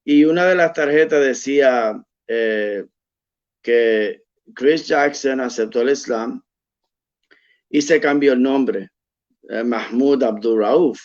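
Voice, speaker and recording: Slow, hesitant man telling his story. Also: mid-range voice. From United States. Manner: hesitant, many fillers and restarts. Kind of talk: personal storytelling. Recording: dull lo-fi sound.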